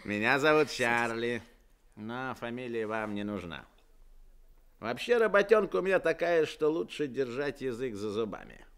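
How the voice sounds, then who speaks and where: A man's voice: mid-range voice, normal speed, smooth. An actor playing a secretive, jaunty chauffeur who teases his young passengers, in a Soviet radio play. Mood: sly. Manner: jaunty, teasing, lively.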